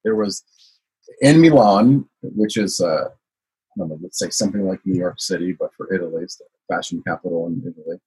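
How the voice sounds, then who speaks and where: A man's voice: low voice, brisk, darkish tone, husky, poor audio. A man from the United States, a guest telling his life story in a casual interview, rambling with many fillers. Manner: rambling, frequent "you know" fillers.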